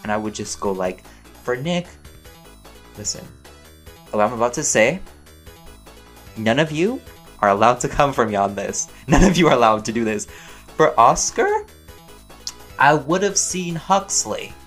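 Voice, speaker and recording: A man with a mid-range voice talks in a casual, rambling way, like a voice actor fielding questions from listeners. His manner is playful and chatty, with animated emphasis. Music plays behind him. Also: medium pitch, measured pace, long pauses.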